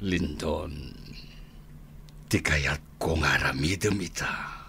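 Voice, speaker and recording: A man playing an older, ruthless crime boss father in a radio drama, with an Ilocano accent and a husky, deliberate delivery. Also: a bass voice, bright, forceful tone, a little hiss or hum, heavily acted. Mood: grave. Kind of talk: Filipino radio drama acting.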